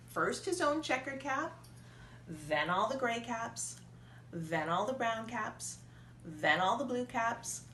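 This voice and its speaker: A woman preschool teacher reading a storybook aloud to young children, expressive and deliberate in her emphasis. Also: fairly high pitch. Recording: echoey room with faint noise.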